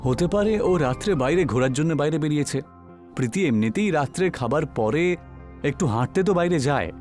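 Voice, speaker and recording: A man's voice: fairly high pitch, lively intonation, brisk, darkish tone, faint music behind, close to an everyday voice. A man voicing a concerned young college student in cartoon dialogue. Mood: reasoning.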